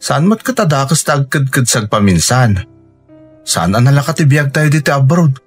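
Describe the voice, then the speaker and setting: An actor playing a steady, supportive young Filipino man with an Ilocano accent, reassuring in a radio drama. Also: medium pitch, normal speed, slightly rough, soft background music. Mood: concerned, sympathetic.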